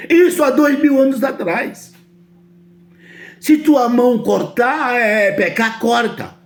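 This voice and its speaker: A man's voice: very high voice, takes it slowly. A man from Brazil with a Brazilian Portuguese accent, preaching a sermon emphatically and often asking his listeners whether they understand.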